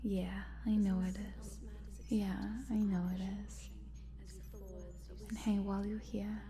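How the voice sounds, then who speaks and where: A woman playing a cute but sinister scientist in an ASMR roleplay, coaxing in a soft, breathy whisper. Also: medium pitch, flat delivery, slow pace. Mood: soothing, teasing. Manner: hushed, coaxing, murmuring, giggly asides. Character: cute sinister lady scientist, chatty, coaxing, quietly gleeful.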